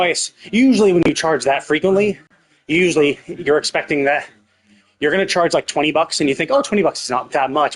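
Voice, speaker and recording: A man streaming a game, casually rambling and thinking out loud as he commentates. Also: on the high side. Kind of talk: casual live stream commentary, speculating aloud.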